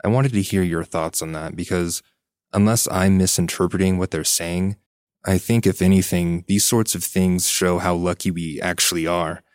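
Male co-host, deep register, articulate casual explainer, no room sound. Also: fairly even intonation, normal speed, no echo, clean studio sound. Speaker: podcast co-host. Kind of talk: casual discussion.

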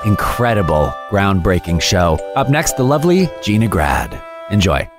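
A man's voice: low-pitched, very animated, normal speed, music in the background. A man, a producer filling in for the host, reading a punchy show intro.

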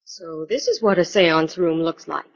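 Spirited radio detective's assistant, muffled recording. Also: female voice, sits low, a little slow. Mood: curious, eager. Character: loyal, curious female assistant to a detective, spirited. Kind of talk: 1940s radio acting. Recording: dull vintage 1940s radio recording.

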